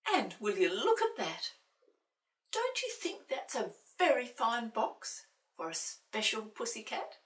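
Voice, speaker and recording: A kindly storybook grandpa played by a woman, hearty and affectionate. The voice is bright and lively in pitch, picked up in a roomy space from a little way off. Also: slow pace, exaggerated voice. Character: friendly, kindly grandpa who fusses over a cat. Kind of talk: storybook character voice. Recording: roomy, distant microphone.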